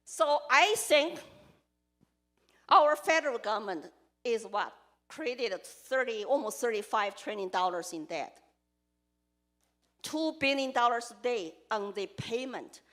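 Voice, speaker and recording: A woman's voice: sits high, fairly bright tone. A woman from China with a Chinese accent, giving an earnest campaign speech as a congressional candidate and listing her points in short, emphatic phrases. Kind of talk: campaign speech to a live audience.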